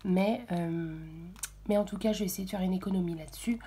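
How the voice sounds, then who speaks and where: Woman, hoarse, mellow warm voice, soft near-whisper, hesitant, at home. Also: medium pitch, fairly quick, slight background noise. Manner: soft, half-whispered, hesitant with many fillers. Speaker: budget vlogger. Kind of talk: casual budget walkthrough. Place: at home, early morning.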